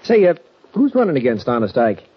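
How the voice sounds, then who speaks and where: A brash young rancher, breezy and sure of himself, with a husky voice that moves up and down a lot. The sound is a dull, old radio drama recording. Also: male voice, mid-range voice, huge pitch swings, brisk. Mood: breezy, eager. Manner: breezy, emphatic, self-assured. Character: brash, eager 28-year-old former Western rancher.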